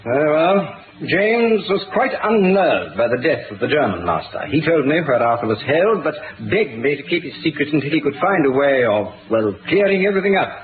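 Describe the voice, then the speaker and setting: A man's voice: fairly high pitch, very animated, moderate pace. A man with a British accent playing a proud, troubled middle-aged Duke in a 1960s radio drama, formal and earnest. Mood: frank, subdued. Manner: formal, earnest, hesitant in places. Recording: tinny, hissy old radio recording.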